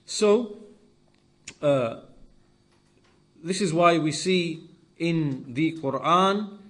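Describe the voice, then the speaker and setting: Higher-voiced man giving a religious lesson. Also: animated, drawn-out words. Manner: emphatic, repeats key phrases. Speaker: religious teacher. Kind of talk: lesson-style sermon explaining scripture.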